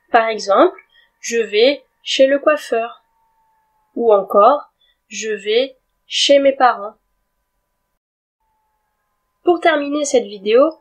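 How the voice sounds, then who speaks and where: A woman teaching a grammar lesson in a clear, deliberate way, spelling words out letter by letter. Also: a little high. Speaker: French teacher. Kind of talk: slow scripted grammar lesson.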